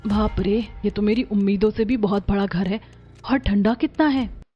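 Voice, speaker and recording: A woman voicing an excitable, wide-eyed young new bride in a cartoon. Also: medium pitch, moderate pace, husky, close to an everyday voice. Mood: amazed. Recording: dull lo-fi track with music.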